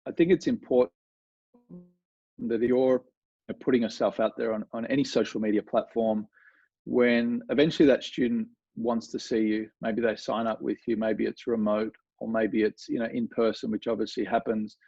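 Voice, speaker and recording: A man's voice: medium pitch, normal speed, gravelly, husky. An Australian man chats loosely as an interview guest, leaning on fillers and restarting his sentences. His voice sits in a middle register. The recording is dull and lo-fi, with no room echo. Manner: relaxed, rambling with many fillers and restarts. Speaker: golf coach, guest. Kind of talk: casual conversational interview.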